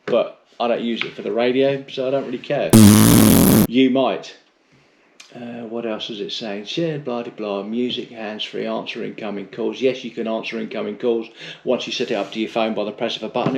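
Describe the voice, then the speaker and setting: Middle aged british bloke rambling thru a gear review, blunt n a bit sweary, muffled lo-fi audio, normal mid pitch. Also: male voice, fairly even intonation, moderate pace, vocal fry, background noise. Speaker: motorcycle vlogger reviewing gear. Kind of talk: casual unscripted product review.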